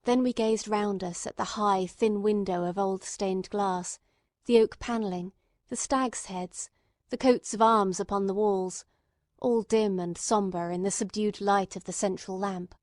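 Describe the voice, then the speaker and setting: Woman, audiobook narrator, literary scripted reading, medium pitch, dry recording. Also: steady tone, a little slow, darkish tone, no echo.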